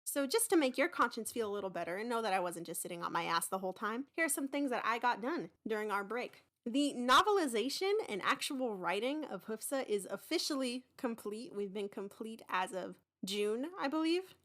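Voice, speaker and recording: A young woman hosting her own podcast, talking casually and excitedly in a rambling, informal way. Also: fairly high pitch, fairly quick, few pauses. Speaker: podcast creator and host. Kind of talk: casual, chatty podcast announcement.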